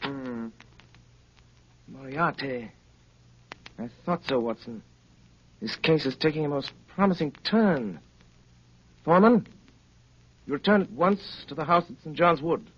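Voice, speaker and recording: An actor playing a brilliant, self-assured English detective in an urbane, deliberate, theatrical 1930s radio style. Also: male voice, a little high, a bit bright, breathy edge. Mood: brisk, commanding. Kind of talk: 1930s radio drama acting. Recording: dull, noisy 1930s radio recording.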